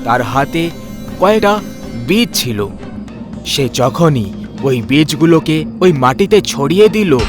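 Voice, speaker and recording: A man narrating a scripted horror story as its storyteller. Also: mid-range voice.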